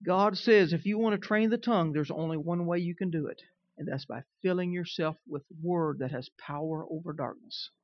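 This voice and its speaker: A man's voice: a little high, moderate pace, no echo. A man in his fifties with a Southern twang, preaching a sermon in a conversational way and often checking that his listeners are following. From Kansas. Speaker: pastor. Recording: dull, dry recording.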